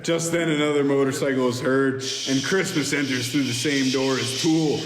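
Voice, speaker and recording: A man's voice: medium pitch, fairly even intonation, moderate pace. Male amateur narrator, mid-range voice, script read-through, plain delivery, echoing room, background noise. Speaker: podcast host reading stage directions. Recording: echoey room, long reverb, background noise.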